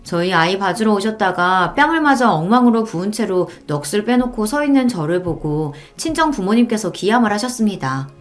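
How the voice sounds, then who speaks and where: A woman's voice: medium pitch, very animated, brisk, hardly any pauses. A woman reading a scripted first-person story in the style of a radio story show. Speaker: story narrator. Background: background music.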